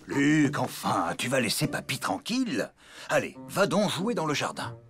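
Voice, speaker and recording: A man's voice: mid-range voice, huge pitch swings, moderate pace, fairly bright tone, soft background music. A man playing a firm, exasperated father in a French cartoon, with an admonishing manner. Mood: stern.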